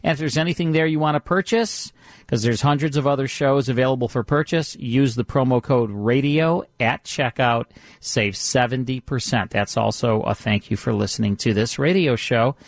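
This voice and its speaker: An American man hosts a radio show in a casual, conversational way. His voice sits at a medium pitch and sounds dry, as in a studio. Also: moderate pace, no room sound.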